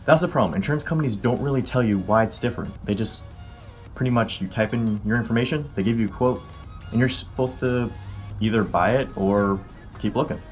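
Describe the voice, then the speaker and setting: A man's voice: mid-range voice, fairly quick. A man in his early twenties with an American accent, talking informally to viewers as he explains things in a casual vlog. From California, United States. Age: early 20s. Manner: informal, conversational. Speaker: car vlogger. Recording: music behind the voice. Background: background music.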